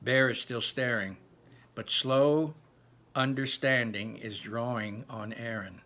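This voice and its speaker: A man narrates a storybook in a bright, forceful voice. His reading is animated and emphatic, with dramatic stresses and asides to his listeners. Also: medium pitch, slow. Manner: emphatic, dramatic, repeats phrases for effect. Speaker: read-aloud teacher narrating a novel.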